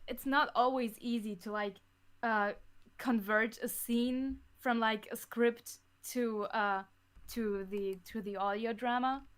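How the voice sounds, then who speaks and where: A woman's voice: fairly high pitch, fairly even intonation, slow pace, clean tone. A woman talking casually in an interview as a podcast guest who makes audio dramas.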